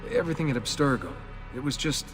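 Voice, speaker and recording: A man's voice: medium pitch, very animated, fast, faint music behind, close to an everyday voice. An actor playing a guarded young man who asks blunt questions, in a game cutscene.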